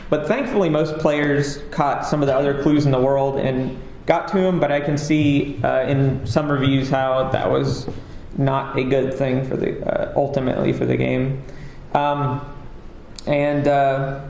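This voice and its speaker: A man with a fairly high voice talks casually from a conference stage, rambling and self-deprecating with many fillers. The sound is roomy and echoey. A faint hum of ambience sits behind him. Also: vocal fry, a little mellow. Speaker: game developer giving a talk.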